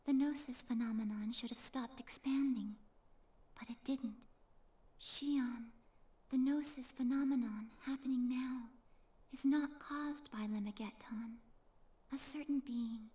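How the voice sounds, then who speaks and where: A woman playing a gentle, sorrowful otherworldly girl in a game cutscene, speaking in a soft, breathy, measured voice. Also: high voice. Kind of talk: dramatic video game cutscene acting.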